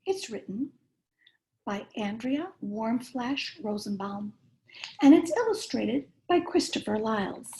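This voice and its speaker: Woman, storybook read-aloud, expressive, mellow tone, unhurried pace. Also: a little high, rough recording. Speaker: cantor reading a bedtime story.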